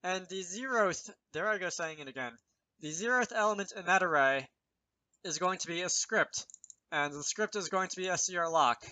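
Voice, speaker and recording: A man's voice: high-pitched, normal speed, pushed and bright, no echo, rough recording. A man presenting an informal programming tutorial, thinking aloud with self-deprecating asides as he explains. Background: keyboard typing and mouse clicks.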